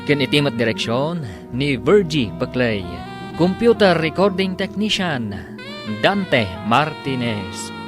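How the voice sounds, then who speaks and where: A man's voice: a little high, big pitch swings, slow. A man with an Ilocano accent narrating and announcing a scripted radio drama. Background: music.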